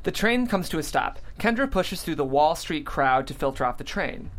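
A narrator reading stage directions at a script table read in an expressive, amused way. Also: medium pitch, moderate pace, slight background noise.

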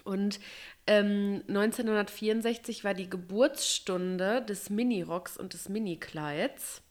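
A woman's voice: mid-range voice, unhurried. A woman chatting casually and emphatically as a podcast co-host, a little stuffy from a cold.

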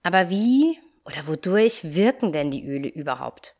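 Calm woman podcaster, slightly stuffy. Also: lowish voice, moderate pace. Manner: calm, friendly direct address. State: slightly stuffy nose from a cold. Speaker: podcast host, yoga teacher and coach. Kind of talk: solo podcast talk.

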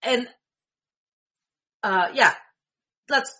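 A woman's voice: on the high side, drawn-out words, pushed and bright. A woman whose first language is Greek, casually talking listeners through a screen-reader tutorial with frequent check-ins. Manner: chatty, explanatory, with frequent "okay?" check-ins. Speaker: tech instructor.

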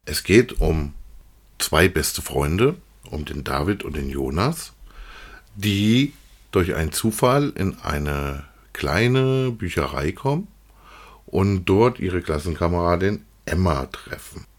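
A man's voice: low voice, animated, takes it slowly. A man hosting an unscripted, casual review chat, feeling his way through sentences with some repetition. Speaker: podcast co-host reviewing audio dramas.

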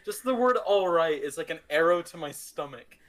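A man's voice: a little high, animated, measured pace, fairly bright tone, poor audio. A man co-hosting a podcast, chatting casually and playfully about movies with an enthusiastic manner. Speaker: podcast co-host.

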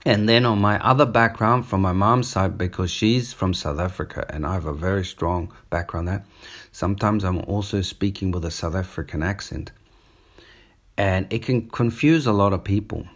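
A man's voice: deep voice, normal speed. A man with a New Zealand accent who talks in a casual, rambling monologue and often drifts off topic. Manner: rambling, digressive, conversational. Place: on holiday up the coast in Australia.

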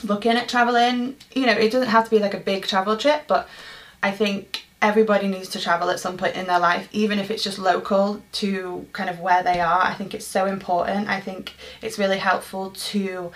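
A woman's voice: medium pitch, little pitch movement, brisk, low-quality recording. A young woman from Manchester, hosting a podcast and answering listener questions in a casual, earnest monologue with frequent ums and restarts. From Manchester, England. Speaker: podcast host.

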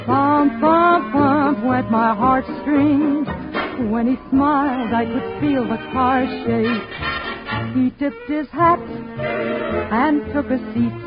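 A woman sings a 1940s show tune in a high voice with very slow, drawn-out phrasing. Loud music plays with her on a dull old radio recording. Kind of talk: 1940s swing-era show tune singing.

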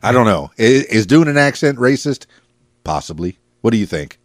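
A man's voice: medium pitch, big pitch swings, moderate pace, no room sound, clean studio sound. An American man hosting a podcast, chatting casually in a rambling, jokey way with his guest. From United States. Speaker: podcast host. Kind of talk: casual interview chat.

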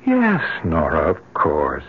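A man playing an anxious, bewildered, devoted husband in an old-time radio drama, halting and pleading. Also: rumbling low, huge pitch swings, breathy edge, heavily acted. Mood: soothing, pleading. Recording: muffled vintage radio recording.

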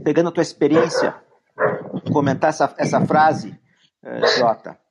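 A Brazilian man hosts an interview in a friendly, talkative way. His voice is slightly high with a husky edge. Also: moderate pace, low-quality recording. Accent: Brazilian Portuguese. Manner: friendly, chatty, with restarts. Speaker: host. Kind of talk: podcast interview.

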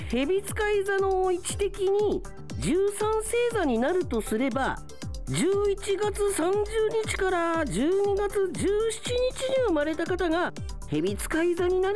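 A fussy TV pundit for a radio comedy, pitched very high. The delivery is formal, measured and earnest, with faint music behind. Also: male voice, very animated, heavily acted. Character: fussy, earnest expert commentator on television. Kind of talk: comic radio drama acting.

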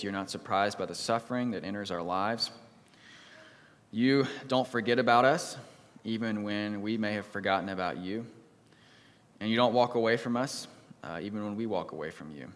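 A pastor reads a psalm in a measured, solemn voice, then prays and preaches a sermon to his congregation. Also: lowish voice, fairly bright tone, big-room sound. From United States. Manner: measured, solemn reading, livelier when telling stories. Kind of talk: sermon with scripture reading and prayer.

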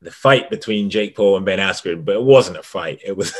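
A man's voice: low-pitched, fairly quick. A man co-hosting a casual sports podcast, rambling with frequent restarts and easy laughs. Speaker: podcast co-host.

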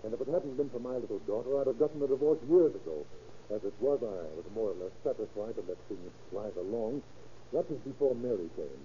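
A man's voice: medium pitch, quick pace, dark tone, close to an everyday voice. An actor playing an earnest, self-justifying married businessman in love, urgent and insistent, in 1930s radio style. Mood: earnest pleading. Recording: muffled, hissy old radio recording.

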